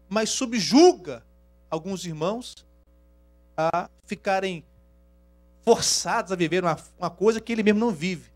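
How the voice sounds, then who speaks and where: Male preacher, Minas Gerais accent, slightly high voice, emphatic swings in volume; faint music bed. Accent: Minas Gerais Portuguese. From Rio de Janeiro, Brazil. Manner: shifts from hushed asides to loud, slow emphatic scripture reading. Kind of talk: sermon to a congregation.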